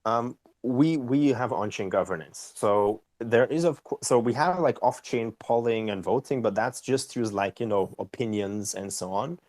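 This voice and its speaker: A man's voice: mid-range voice, normal speed, hardly any pauses, a little mellow, no room sound. A man answering interview questions, explaining patiently and often checking with "right?". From Austria. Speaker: company co-founder and CEO, guest.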